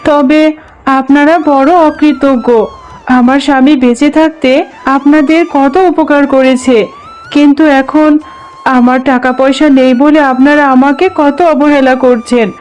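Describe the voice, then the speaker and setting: A woman's voice: high-pitched, steady tone, measured pace, darkish tone. A woman voicing a poor, polite, plaintive widowed mother in a children's cartoon. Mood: hurt, reproachful. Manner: pleading, plaintive.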